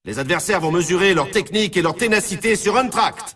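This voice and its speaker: An actor playing an excitable snowboard race announcer in a video game, emphatic and showy, heard slightly at a distance. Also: male voice, high-pitched, a little fast. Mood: dramatic hype. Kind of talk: scripted video game sports commentary.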